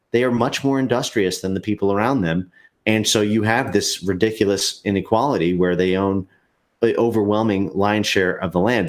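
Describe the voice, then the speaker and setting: A man with a fairly low voice, talking as a guest in a loose livestream conversation. He speaks in long, meandering stretches, stopping often for fillers and restarting his sentences. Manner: halting, many fillers and restarts. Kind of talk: casual livestream discussion.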